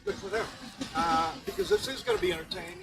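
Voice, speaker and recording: A fired-up man speaking to a protest crowd outdoors, profane and loud in spirit. His voice is pitched high and leaps around. It has a gravelly edge. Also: huge pitch swings, quick pace, low-quality recording. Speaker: protest organizer. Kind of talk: rally speech.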